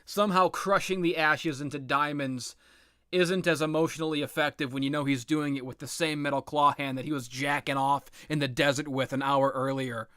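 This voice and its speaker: Blunt, sardonic man with a higher-pitched voice. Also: steady tone, normal speed, pushed and bright, no echo, clean studio sound. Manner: blunt, sardonic, profane ranting. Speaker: video game commentator, host. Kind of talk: casual unscripted opinion commentary on video games.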